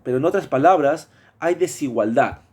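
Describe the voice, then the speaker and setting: A man's voice: a little high, lively intonation, moderate pace, darkish tone, husky. A man from Mexico with a Mexican Spanish accent, presenting a class project in a hesitant way, with many fillers and restarts.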